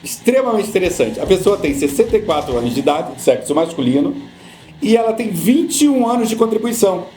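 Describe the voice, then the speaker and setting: A middle-aged Brazilian man, a guest on a podcast, who explains pension rules patiently and often repeats his points to calm the listener. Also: high-pitched, a little fast, roomy sound, slight background noise. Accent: Brazilian Portuguese. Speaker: social security law guest.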